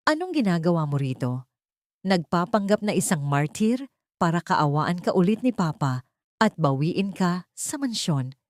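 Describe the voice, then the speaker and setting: A woman's voice: very animated, moderate pace, no room sound, clean studio sound. A woman voicing a spiteful, haughty rich young heiress in an audiobook drama, scornful and accusing. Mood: contemptuous, accusing. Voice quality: shrill.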